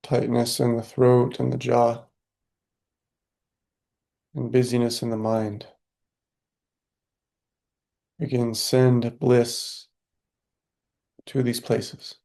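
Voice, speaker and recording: A man guides a meditation with a very flat, barely moving pitch and a creaky edge to his voice. The tone is dark and mellow. He is calm and soothing, leaving long silences between short phrases. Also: takes it slowly, long pauses. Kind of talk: guided meditation, spoken softly with long pauses.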